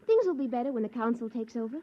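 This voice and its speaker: A trusting young colonist in a 1950s sci-fi radio play. She speaks high and gently, with earnest pleading. The sound is dull, like an old broadcast. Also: expressive, rapid-fire. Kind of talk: 1950s radio drama acting.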